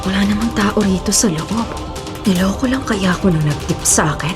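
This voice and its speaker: A woman's voice: medium pitch, normal speed, over music, natural voice. A woman playing a determined, dutiful police officer in a radio drama, firm and resolute in her delivery. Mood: tense, wary.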